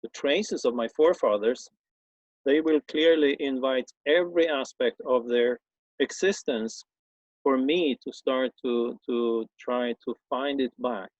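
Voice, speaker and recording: A man's voice: medium pitch, dry recording, poor audio. A man from Sweden with a Swedish accent, a native Swedish speaker and former schoolteacher, giving an informal explanatory talk in a hesitant way, full of fillers and restarts. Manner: hesitant, halting with many fillers and repeated restarts.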